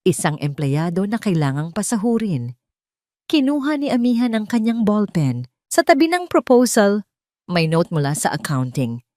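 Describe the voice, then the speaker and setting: A woman reading a romantic drama as a storyteller, in a measured and heartfelt way, close to the mic. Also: medium pitch, big pitch swings, a little mellow, no echo. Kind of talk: scripted drama narration.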